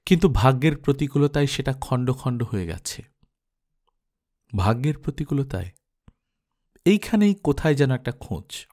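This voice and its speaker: A man with a medium-pitched voice narrates literary fiction. His reading is measured and expressive, pausing reflectively between phrases as an audiobook storyteller does.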